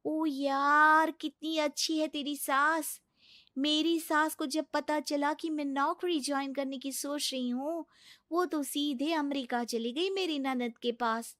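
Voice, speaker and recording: A woman's voice: sits high, steady tone, slow, fairly bright tone, no echo, clean studio sound, pitched above the performer's own voice. A woman voicing a chatty, nosy young office friend in a cartoon story.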